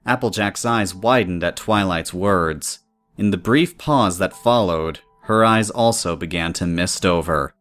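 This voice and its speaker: A man's voice: deep voice, unhurried, a bit bright, faint music behind. A man reading scripted story narration in the third person.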